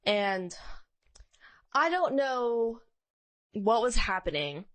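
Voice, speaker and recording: A woman co-hosting a podcast in casual chat, telling personal stories in an animated way and acting out what people said. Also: a little high, very slow. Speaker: podcast co-host.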